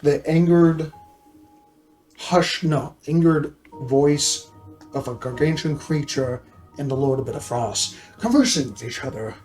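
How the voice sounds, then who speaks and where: A man's voice: on the high side, measured pace, long pauses, darkish tone, faint music behind, poor audio. A man narrating a tabletop role-playing game as game master.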